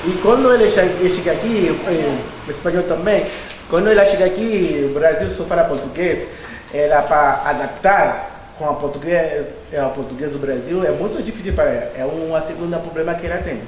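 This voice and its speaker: High-voiced man explaining earnestly. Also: fairly quick, darkish tone, echoey room, slight background noise, rough recording. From Haiti. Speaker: interviewee. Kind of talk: interview answers.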